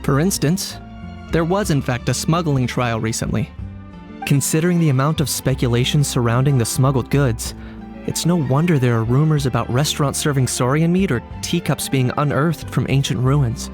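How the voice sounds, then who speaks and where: An actor playing a pragmatic, unbothered young man in a video game, speaking in a measured, matter-of-fact deadpan. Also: medium pitch, faint music behind, close to an everyday voice. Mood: matter-of-fact, dry. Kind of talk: English video game dub acting.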